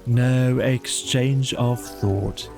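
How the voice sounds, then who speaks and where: A man's voice: medium pitch, fairly even intonation, very slow, creaky, over music. A man voicing a gloomy, aggrieved donkey with a ponderous, mournful, sarcastic grumble in a storybook audio play. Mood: gloomy sarcasm.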